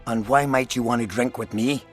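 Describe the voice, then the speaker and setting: A man's voice: medium pitch, steady tone, moderate pace, lightly acted. A man playing a bitter, fatalistic middle-aged soldier and father in a fantasy video game. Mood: bitter, guarded. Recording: music behind the voice.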